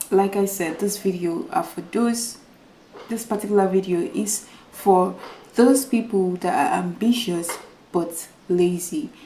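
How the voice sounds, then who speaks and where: A woman with a small, soft voice speaks earnestly to her viewers, circling back over her points. Her tone is dark and mellow. The room around her rings with echo. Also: mid-range voice, a little hiss or hum. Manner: earnest and repetitive, addresses viewers as guys. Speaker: YouTuber. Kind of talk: motivational talk to camera. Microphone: new microphone without full setup.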